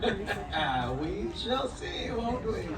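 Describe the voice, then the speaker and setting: A man playing a wry village rabbi in a live puppet show, measured and knowing, drawing out his words. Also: high-pitched, sing-song, a loud crowd, full character voice. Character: wry, unhurried village rabbi, a male sage. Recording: echoey live show, distant mic.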